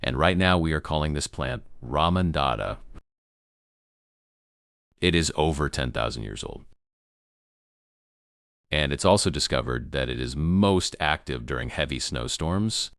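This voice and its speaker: Man performing a grave doomsday newscaster, rock-bottom pitch, creaky, halting, ominous, amateur broadcast drama. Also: expressive, fairly bright tone, no echo, studio quality, full character voice. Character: grave male emergency news broadcaster warning of apocalypse. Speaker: news anchor. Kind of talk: amateur emergency broadcast narration.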